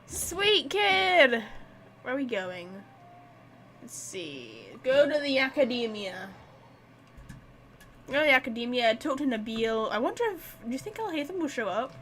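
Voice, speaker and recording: A woman with a fairly high voice gives casual gaming commentary. She chats to herself and reacts as she plays, with faint noise behind her. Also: bright, forceful tone. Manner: chatty, reacting to the game and talking to herself. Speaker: gaming streamer.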